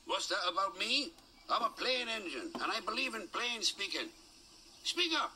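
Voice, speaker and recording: A man's voice: high voice, very animated, moderate pace, bright, forceful tone, slight background noise, voice pushed up, exaggerated voice. A man voicing a cocky, grumpy male steam engine who speaks bluntly and crossly, in a children's storybook show. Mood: gruff.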